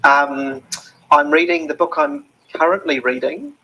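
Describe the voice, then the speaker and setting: A man's voice: a little high, unhurried, pushed and bright, breathy edge, highs cut off. A man with a New Zealand accent, an interview guest talking earnestly with many ums and restarts. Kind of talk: reflective interview talk.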